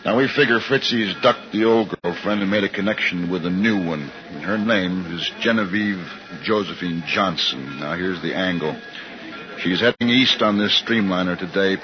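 An actor playing a tough, breezy police detective who talks in slang in a 1950s radio drama. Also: male voice, low-pitched, natural voice. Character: brisk, slangy male police detective giving orders. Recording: dull vintage radio recording with music.

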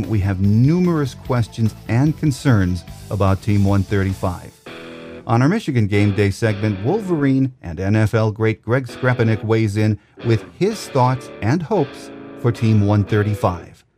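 A man's voice: fairly low pitch, lively intonation, measured pace, dark tone, faint music behind. A man hosting a sports podcast, presenting scripted news and introducing interviews. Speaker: podcast host.

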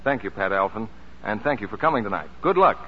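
A man's voice: lowish voice, expressive, fast talker. A man announcing and selling on 1940s radio, with scripted, emphatic sales delivery. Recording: dull, reverberant, faintly noisy old radio recording.

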